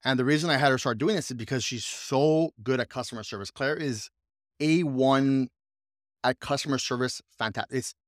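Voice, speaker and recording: A man hosting a relaxed podcast, speaking eagerly and circling back over his points. His voice sits in an ordinary middle register. The recording is dry and close. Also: medium pitch, moderate pace, dry recording. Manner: enthusiastic, rambling with restarts. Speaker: podcast host, coach and business owner. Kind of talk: casual podcast chat.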